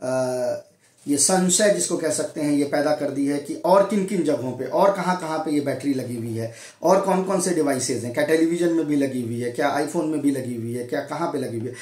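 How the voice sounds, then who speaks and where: Male Indian news commentator, slightly high voice, halting explainer delivery, roomy echo. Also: a little mellow. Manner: halting, explanatory, asks and answers his own questions. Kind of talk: unscripted news analysis to camera. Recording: echoey room.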